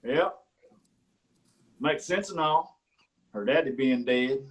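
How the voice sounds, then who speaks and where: An actor reading the part of a prying county sheriff in a staged play reading, drawling and falsely friendly. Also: male voice, medium pitch, slow, natural voice. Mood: smug. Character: rural county sheriff, middle-aged man, prying and smug.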